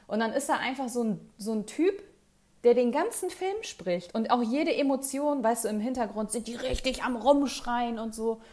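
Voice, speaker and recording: A woman chatting casually with a friend, talkative and quick to laugh, with lots of fillers. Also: fairly high pitch, darkish tone. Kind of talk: casual chat.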